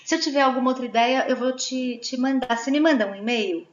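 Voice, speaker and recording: A woman giving gentle advice as a guest educator, explaining step by step and often checking in with tag questions. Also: high voice, quick pace, reverberant, dull audio. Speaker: guest speaker, Waldorf educator. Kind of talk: advice in an online talk.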